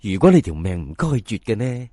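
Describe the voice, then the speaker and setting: Man voicing a chatty old village mother, slightly husky. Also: fairly low pitch, sing-song, a little fast, warm tone, no echo, clean studio sound, pitched below the performer's own voice. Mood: philosophical, scolding. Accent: Cantonese. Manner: chatty, coaxing, full of folk sayings. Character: talkative, fatalistic old village mother, folksy and persuasive. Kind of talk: dramatised folk storytelling.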